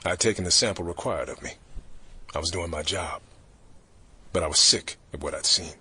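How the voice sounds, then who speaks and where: A man's voice: deep voice, normal speed, sharp tone, slightly rough, heavily acted. A man playing a grim, weary government fixer who tells his story in terse, hard-boiled narration for an audio drama.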